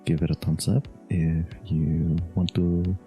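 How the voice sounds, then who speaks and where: A man's voice: deep voice, little pitch movement, takes it slowly, warm tone. A man giving a casual outro to his listeners, with music playing behind him. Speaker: voice actor and channel host. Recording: background music under the voice.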